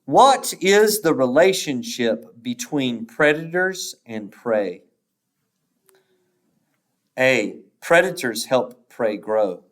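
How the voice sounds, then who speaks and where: A man reading test questions and answer choices aloud like a teacher, in a measured, deliberate way with pauses after each option. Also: medium pitch, sing-song, fairly bright tone.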